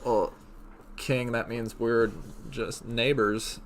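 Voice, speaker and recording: A man's voice: medium pitch, fairly even intonation, slow pace, a little hiss or hum, poor audio. A young man from Indiana hosting a livestream, laid-back and laughing often as he reads chat comments aloud. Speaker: livestream host.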